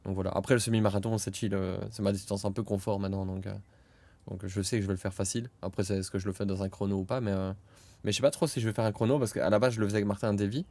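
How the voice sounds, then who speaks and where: Low-voiced young man chatting casually. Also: level tone, racing. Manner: laughs loudly, many fillers. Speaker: podcast co-host. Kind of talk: casual chat.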